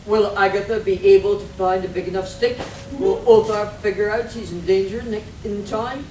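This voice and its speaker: A woman's voice: medium pitch, fairly even intonation, normal speed, dark tone, natural voice. A woman performing an arch, mock-dramatic narrator of a theatrical radio serial. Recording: echoey, noisy hall recorded from far off.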